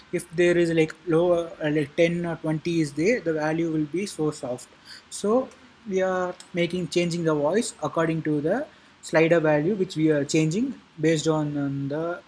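Husky, higher-voiced man explaining software. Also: rough recording. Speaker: tutorial presenter. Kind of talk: informal software tutorial narration.

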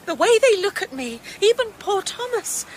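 A woman's voice: very high voice, big pitch swings, moderate pace, slight background noise, poor audio. An actress playing a fragile, anxious, guilt-ridden woman in a radio drama, hesitant and troubled. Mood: distressed.

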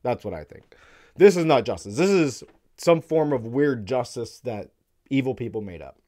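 Hoarse male stream host, fading voice, blunt and wry. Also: medium pitch, dark tone. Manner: blunt, wry, breaks into laughs. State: hoarse, losing his voice, coughing. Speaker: crypto live-stream host. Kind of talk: casual opinionated commentary. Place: dark studio room, indoors. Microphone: studio mic on stand in front.

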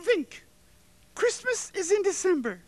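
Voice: extremely high pitch, very animated, a little slow, darkish tone, heavily acted. A performer voicing a vain, bossy female pig diva in a puppet comedy. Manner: haughty, imperious.

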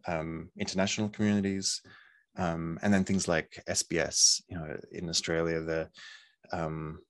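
A man in his forties from Poland, talking over a video call in a hesitant, thoughtful way with many pauses. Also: low-pitched, no echo. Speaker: artist. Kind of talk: casual interview. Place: home room, video call.

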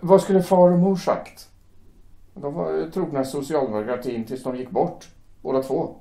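A man playing a young German shipyard worker who speaks hesitantly and haltingly, torn by conscience. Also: a little high, gravelly, warm tone. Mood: troubled. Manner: hesitant, halting, repeats words. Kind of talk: amateur audio drama acting. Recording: echoey room.

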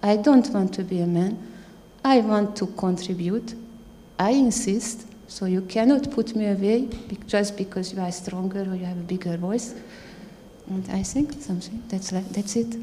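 A woman in her fifties with a low voice, a scientist speaking earnestly on a panel with slightly halting phrasing, holding a handheld mic. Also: warm tone, big-room sound, slight background noise. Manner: earnest, proud, halting non-native phrasing. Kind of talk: panel discussion. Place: theater stage at a panel event.

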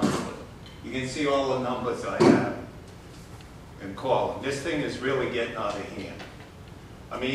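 A man's voice: medium pitch, noisy background. An older man with a New England accent making a rambling, blunt public complaint at a city commission podium, with lots of fillers. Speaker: resident giving public comment. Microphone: podium gooseneck mic. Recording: echoey chamber, mic at a distance.

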